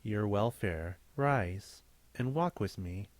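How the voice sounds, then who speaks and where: A man playing a calm, solemn ghost guide in a radio drama, speaking in a measured way. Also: on the low side, no room sound. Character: calm, stately, guiding ghost of the past.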